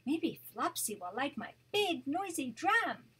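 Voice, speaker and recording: An older woman voicing an eager little boy rabbit, a big brother, in a storybook read aloud with gentle emphasis. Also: high voice, very animated, slow, exaggerated voice.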